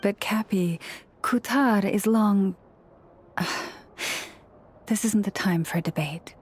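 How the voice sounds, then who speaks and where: A woman playing a serene, devout young priestess and healer in a video game, speaking in a gentle, measured, formal way with quiet authority. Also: mid-range voice, a little hiss or hum, lightly acted. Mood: patient, firm.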